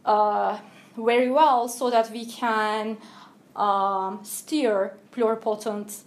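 A woman from Turkey, a researcher guest answering interview questions in a careful, explanatory way with thoughtful pauses. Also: a little high, fairly even intonation.

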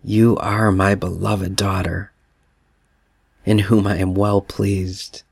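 A man voicing God the Father as a tender, loving male spirit, repeating gentle affirmations. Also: low voice, little pitch movement, slow pace, vocal fry, no echo, clean studio sound, heavily acted.

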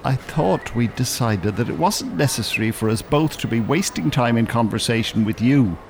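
A man's voice: mid-range voice, lively intonation, moderate pace, a little mellow, natural voice. An actor playing a bookish, irritable older man in a radio drama, articulate, polite and drily sarcastic. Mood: exasperated. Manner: polite, dry, exasperated, articulate. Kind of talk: naturalistic radio drama acting. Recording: urban ambience, faint background noise. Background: shopping centre ambience.

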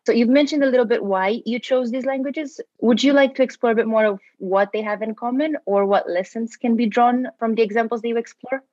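A woman's voice: fairly high pitch, brisk, hardly any pauses, darkish tone, no echo, dull audio. A woman interviewing an author, asking friendly, structured questions. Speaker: podcast interviewer.

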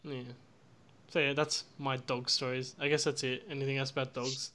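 A man co-hosting a casual podcast chat, joking and laughing often, with a booming voice. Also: level tone, moderate pace, pushed and bright, smooth. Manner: laughs a lot, jokey. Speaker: podcast co-host.

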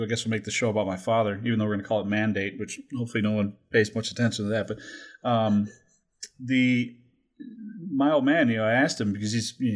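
A man in his forties chatting casually as a podcast host, rambling and joking with some crude language. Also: fairly low pitch.

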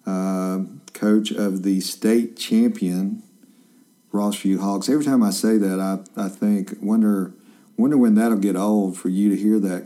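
A man's voice: on the low side, warm tone. A man in his fifties, a journalist hosting a casual interview, chatty and rambling with lots of fillers and restarts. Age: 50s.

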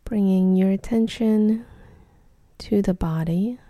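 Slow, very soft, dark-toned woman guiding meditation. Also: mid-range voice, no echo. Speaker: meditation guide. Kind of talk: guided meditation.

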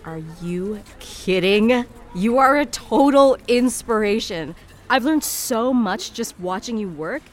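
An actress playing an eager young assistant with a gushing, informal, chatty way of talking. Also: female voice, on the high side, expressive, slow pace. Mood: admiring. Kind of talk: audio drama acting.

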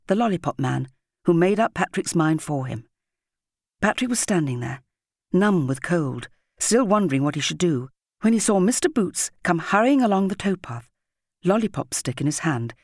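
A woman's voice: sits low, gravelly, a little mellow, husky, no echo, studio quality. A woman with a British accent reading a scripted story expressively as an audiobook narrator.

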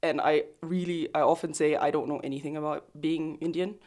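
A woman in her thirties from Singapore, with an accent that mixes Singaporean and Australian, talking about herself in a relaxed interview. She speaks reflectively and often restarts her phrases. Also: sits low, brisk. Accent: mixed Singaporean and Australian. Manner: thoughtful, self-deprecating, restarts phrases. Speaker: photographer, interview guest. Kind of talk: casual conversational interview. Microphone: close desk mic.

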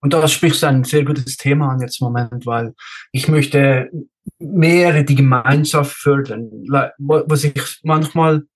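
A man from Switzerland speaks as a guest in a relaxed podcast conversation. He has a middle-pitched voice and tends to repeat words as he feels his way through a sentence, now and then slipping in English. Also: measured pace. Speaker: race director, interview guest. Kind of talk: casual podcast interview.

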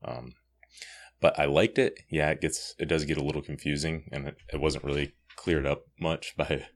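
Man, very low bass voice, podcast host, casual review chat. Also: fairly even intonation, moderate pace, vocal fry. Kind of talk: casual comic review discussion.

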